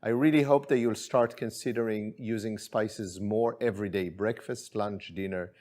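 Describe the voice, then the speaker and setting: A man's voice: on the low side, normal speed. A middle-aged man from Israel, chatting easily through a cooking demonstration in his kitchen, with plenty of fillers. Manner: relaxed, friendly, with many fillers. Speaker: chef and spice company owner.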